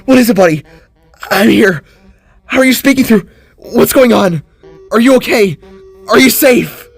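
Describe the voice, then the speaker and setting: Man playing a frantic, tearful, high-pitched father. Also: animated, normal speed, vocal fry, dry recording, soft background music, full character voice. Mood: anxious babbling. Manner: babbling, urgent. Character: frantic, tearful warrior father calling to his lost son.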